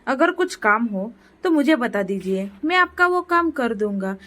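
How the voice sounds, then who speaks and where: A woman's voice: fairly high pitch, lively intonation, a little slow, a bit bright. A woman voicing a poor, worried village father in a moral story, humble and pleading. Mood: concerned.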